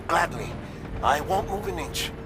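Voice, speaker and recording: Nervous, wordy scientist, higher-pitched. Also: male voice, expressive, a little slow, noisy background. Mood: anxious, hopeful. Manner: nervous, wordy, hesitant, theatrical. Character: jittery, confiding, lonely male scientist comrade, frightened but chatty. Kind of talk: dramatic video game cutscene acting.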